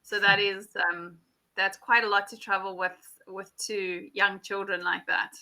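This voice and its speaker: South african lady tutor on a video call, super bright crisp voice, keeps saying yeah. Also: medium pitch, level tone, measured pace, rough recording. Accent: South African English. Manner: friendly, encouraging, lots of affirming "yeah". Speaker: English tutor. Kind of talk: casual conversation class.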